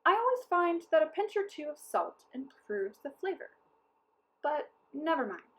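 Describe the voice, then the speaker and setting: A confident storybook captain of soldiers played by a woman reading aloud. He is wily and courteous, coaxing with emphatic, persuasive phrasing in a high, lifted voice. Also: sing-song, a little slow.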